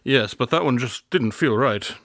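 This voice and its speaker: A man's voice: mid-range voice, lively intonation, moderate pace, a bit bright, no room sound. An actor playing a clever, smug male detective in a radio drama, with a dry, formal, deductive manner. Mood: dryly smug.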